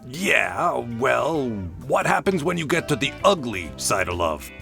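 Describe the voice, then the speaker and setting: A man voicing a gruff, skeptical government agent in a comic dub, blunt and slangy. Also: medium pitch, big pitch swings, a little slow, music in the background. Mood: wry.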